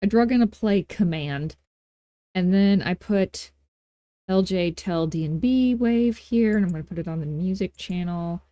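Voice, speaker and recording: A woman with a lowish, mellow voice talks through a software tutorial as its host. Her manner is casual and playful, with folksy phrasing and little asides at her own expense. The recording is dry and close, without room sound. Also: a little slow, dry recording. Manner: playful, self-deprecating asides, folksy informal grammar.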